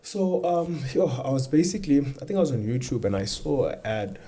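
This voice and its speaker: A young man from South Africa, a guest telling his story in a relaxed, casual interview, laughing easily and repeating himself. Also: mid-range voice, brisk, a little hiss or hum. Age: young adult. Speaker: guest, trader.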